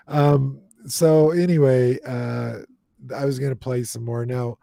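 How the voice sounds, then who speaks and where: Gravelly, mellow-voiced male host. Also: mid-range voice, fairly even intonation, slow, dry recording. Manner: talks to the chat audience, frequent fillers. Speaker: paranormal investigator host. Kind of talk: casual livestream chat.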